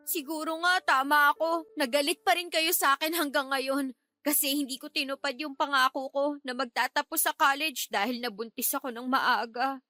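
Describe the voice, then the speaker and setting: An actress playing a defiant, aggrieved young niece who whines and talks back, in a Tagalog radio drama. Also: female voice, high voice, steady tone, normal speed, sharp tone, no echo, soft background music. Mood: bitter.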